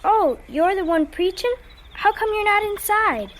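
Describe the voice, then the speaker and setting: A woman's voice: extremely high pitch, expressive, unhurried, fairly bright tone, exaggerated voice. An actress playing an evasive, stubborn young girl in a radio drama, with a childlike, plain-spoken manner. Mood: polite, guarded. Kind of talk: radio drama acting and narration.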